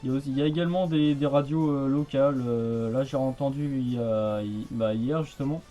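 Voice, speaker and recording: A man's voice: medium pitch, brisk, mellow, faint music behind. A man chatting casually on the radio in a rambling, hesitant, repetitive way. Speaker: amateur radio hobbyist.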